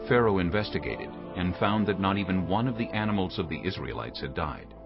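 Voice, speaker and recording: Low-voiced male scripture narrator. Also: expressive, a little fast. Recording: phone-like narrow band, prominent music.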